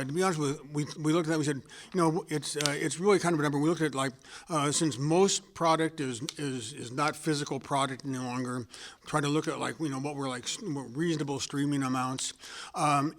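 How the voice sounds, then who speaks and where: Hesitant, rambling man on a panel. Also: medium pitch. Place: studio room with an audience.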